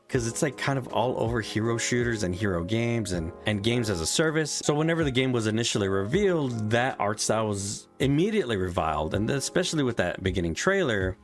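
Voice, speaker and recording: A young man talks through a game review in a loose, candid way, cursing freely as he makes his points. His voice sits at a middling pitch. Quiet music plays underneath. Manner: frank, swears freely. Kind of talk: casual spoken video review.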